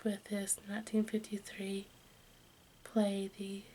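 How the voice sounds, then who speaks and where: Slow, whispering woman reading ASMR. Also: medium pitch, rough recording. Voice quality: breathy unvoiced whisper. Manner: halting, stumbling read-aloud delivery. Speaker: host of an ASMR channel. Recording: steady background noise, room ambience.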